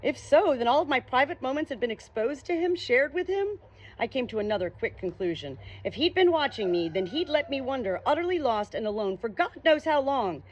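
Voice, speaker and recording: A woman's voice: high-pitched, animated, brisk, lo-fi sound. A woman narrating an audiobook novel dramatically in the first person.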